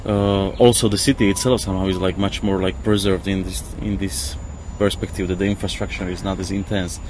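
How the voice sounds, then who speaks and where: A man's voice: sits low, level tone, fairly quick, a little mellow. A man who is not a native English speaker, giving a reflective interview outdoors and searching hesitantly for words. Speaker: interview guest. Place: outdoors on a river island. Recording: clearly noisy outdoor field recording.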